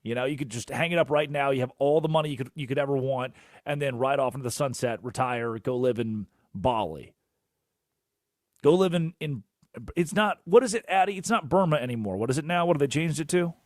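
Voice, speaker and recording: A young man hosting a sports radio show, animated and jokey, rambling through asides in a studio. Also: medium pitch, fairly quick, dry recording. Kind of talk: energetic sports talk radio. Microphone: studio microphone.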